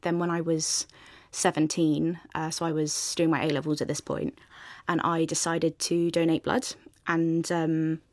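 A British woman recounts personal experience in a conversational way as an interview guest. Her voice sits low with a good deal of vocal fry, and the sound is close and dry. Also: level tone, unhurried, a little mellow, dry recording.

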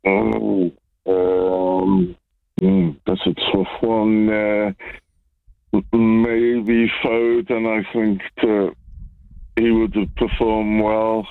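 A man's voice: lowish voice, little pitch movement, drawn-out words, creaky, smooth, no room sound. A man with a Scouse accent, from Wales, calling into a radio show and rambling casually, laughing as he talks. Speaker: caller. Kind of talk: casual phone-in chat. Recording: tinny phone line.

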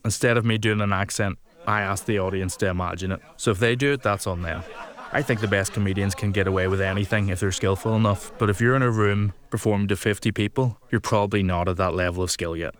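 Low-voiced male stand-up comedian. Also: fast, hardly any pauses. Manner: self-deprecating, deadpan asides. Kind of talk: stand-up storytelling with asides. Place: comedy venue with live audience.

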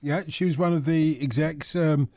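A man's voice: a little high, fairly even intonation, normal speed, darkish tone, dry recording, studio quality. A man hosting a radio talk show, asking questions in a casual, hesitant way with many fillers. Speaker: radio host. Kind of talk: casual chat.

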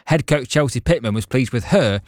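A man presenting a scripted sports news bulletin at a brisk pace. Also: medium pitch, expressive, no echo, clean studio sound.

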